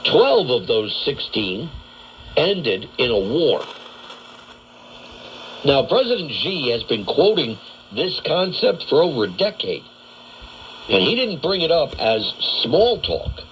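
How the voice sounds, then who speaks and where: A man's voice: medium pitch, sing-song, highs cut off. Emphatic male radio commentator on noisy shortwave. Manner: emphatic, deliberate delivery with dramatic phrasing. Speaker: radio news commentary host. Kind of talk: news commentary monologue. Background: shortwave radio static.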